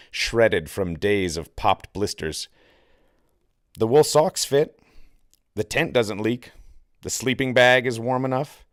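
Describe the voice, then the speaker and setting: Low-voiced man narrating a novel, close and dry. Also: no room sound. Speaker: author reading own novel. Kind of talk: audiobook narration, scripted.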